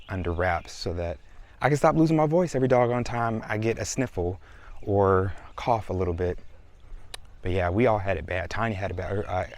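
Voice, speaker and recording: A man, chatting casually to his viewers in a vlog. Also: low voice. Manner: chatty, relaxed, addresses viewers as y'all. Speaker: vlogger. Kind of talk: casual vlog talk to camera.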